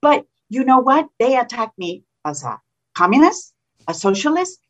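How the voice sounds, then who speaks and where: A woman with a slight Colombian Spanish accent, a state senator talking in an interview in an animated, combative way with frequent restarts. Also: on the high side, moderate pace, highs cut off. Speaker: state senator, political guest. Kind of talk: casual political interview.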